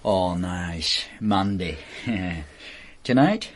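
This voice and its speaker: A man's voice: low-pitched, lively intonation, drawn-out words, exaggerated voice. An actor in a radio drama playing a sleazy, chummy escort agency boss, with wheedling, rambling salesman patter. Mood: stoned, chummy.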